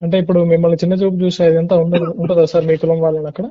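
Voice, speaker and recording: Quick, polite man surveying by muffled phone. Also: fairly high pitch, level tone. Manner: polite, deferential, mixes in English words. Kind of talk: question-and-answer phone survey. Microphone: telephone. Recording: muffled lo-fi leaked phone call.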